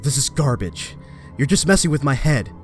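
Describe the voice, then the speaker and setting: A man's voice: mid-range voice, animated, moderate pace, a little mellow, lightly acted. A man playing an earnest, rattled young superhero in a comic book dub, disbelieving and confrontational. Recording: dry close recording, faint music behind.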